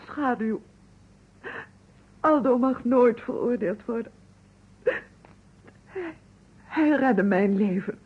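An actress in an old Dutch radio play playing an anxious, distressed woman with a breathy, husky voice. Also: on the high side, animated, slow, pauses often, exaggerated voice. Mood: pleading. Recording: muffled old radio recording.

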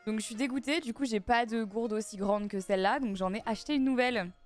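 A young woman from France, chatting casually with her live viewers and answering their chat messages, speaking into a boom mic in a small room. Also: fast talker, soft background music. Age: young adult. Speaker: streamer. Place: small dim room, indoors. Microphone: studio mic on boom arm, headset on.

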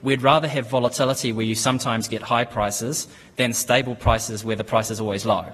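A man in his thirties with a New Zealand accent, speaking at a lectern microphone in a conference hall. His pitch sits in an ordinary middle range, and he talks in a measured, businesslike way, pausing often with ums. The long reverb of the hall trails behind his words. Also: husky. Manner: measured, steady, with frequent ums and restarts. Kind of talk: business presentation.

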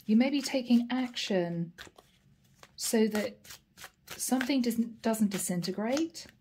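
Chatty woman reading tarot, slightly hoarse. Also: fairly high pitch, animated, slow, slight background noise. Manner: chatty, warm address to viewers, frequent "guys" and "okay". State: slightly hoarse, losing her voice. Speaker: tarot reader. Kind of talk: casual tarot reading to camera. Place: indoor home room, table with plants.

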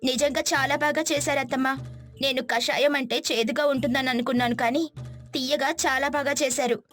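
A woman voicing an eager, delighted young city-bred wife in an animated moral story, with music behind her. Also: high voice, normal speed. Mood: playful.

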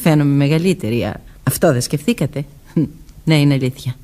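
A woman's voice: sits low, dark tone, close to an everyday voice. An actress playing a guarded, evasive young woman who seems older than her years, answering curtly in a Greek radio play. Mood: defensive. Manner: curt, defensive answers. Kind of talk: Greek radio theatre acting.

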